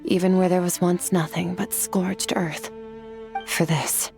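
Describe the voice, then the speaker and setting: A woman voicing an ancient, calm swordswoman who talks in a measured, solemn, philosophical way, in a video game. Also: fairly low pitch, vocal fry, faint music behind. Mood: gentle.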